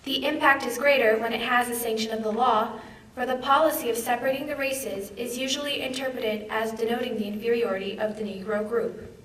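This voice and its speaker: A young woman gives a formal reading of a legal text. She has a high voice, picked up from a distance in a roomy hall. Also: steady tone, a little fast, fairly bright tone. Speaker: student reader. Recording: echoey hall, distant mic.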